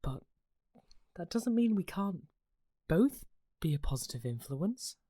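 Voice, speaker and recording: A woman's voice: on the low side, very animated, unhurried, dark tone, slightly rough, full character voice. A woman acting in a radio play as an earnest, idealistic immortal, questioning and pleading with emotional investment. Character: earnest, idealistic, challenging immortal counterpart of Death.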